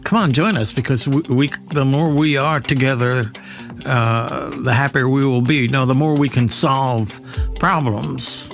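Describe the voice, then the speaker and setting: A man with a mid-pitched voice hosts a casual podcast with music underneath. He is middle-aged or older and talks in a loose, conversational ramble, happy to poke fun at himself. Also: a little slow, creaky. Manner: rambling, self-deprecating, conversational. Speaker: podcast host and voice actor.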